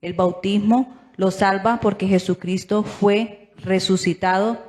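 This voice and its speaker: A man reading a Bible passage aloud in a steady, even way. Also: high voice, unhurried. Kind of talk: scripture reading.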